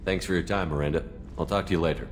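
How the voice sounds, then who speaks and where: An actor playing a tough, principled human soldier in a sci-fi video game, speaking directly and firmly. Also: male voice, deep voice, steady tone, very fast, a little hiss or hum, close to an everyday voice. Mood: calm. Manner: direct, firm. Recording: echoey room.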